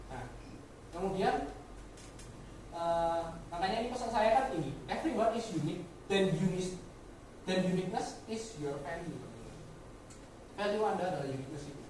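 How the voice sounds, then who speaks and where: A woman giving a motivational business talk to colleagues, often addressing listeners directly with rhetorical questions and dropping in English words. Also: fairly low pitch. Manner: mixes English words into speech. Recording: very echoey room, distant mic, faint noise.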